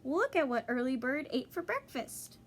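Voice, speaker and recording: A woman teacher reading a children's storybook aloud, pausing to explain and ask young listeners questions. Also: high voice, sing-song, a little hiss or hum.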